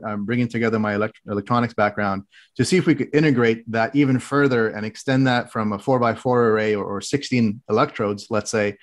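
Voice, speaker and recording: A man with a somewhat low voice, raised in the Middle East, speaks as a guest on a podcast. He explains technical work in careful detail and often restarts his sentences. His tone stays conversational. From grew up in the Middle East. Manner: explanatory, many restarts and fillers, precise technical wording. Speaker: guest, health tech director. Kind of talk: casual interview talk.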